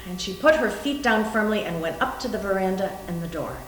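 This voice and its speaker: A woman's voice: mid-range voice, lively intonation, fairly quick, fairly bright tone. A woman reading scripted story narration in a readers theater performance. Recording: roomy echo, faint hum.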